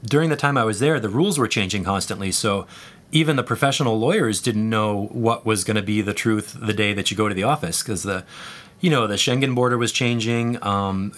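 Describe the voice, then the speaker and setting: A man speaking as an interview guest, relaxed and rambling with frequent fillers. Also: lowish voice. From United States. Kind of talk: conversational interview.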